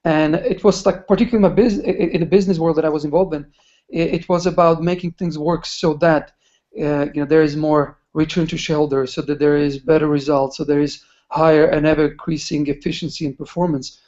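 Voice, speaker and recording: A man's voice: fairly high pitch, fairly even intonation, a little fast, a little mellow. A man sharing a personal story on a webinar, hesitant and searching for words. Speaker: webinar participant. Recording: muffled webinar call audio.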